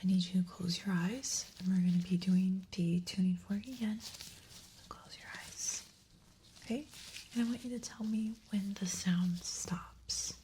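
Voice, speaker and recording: A woman whispering softly and breathily in a gentle, reassuring way, as an examiner in an ASMR medical roleplay. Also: mid-range voice, steady tone, unhurried, slight background noise.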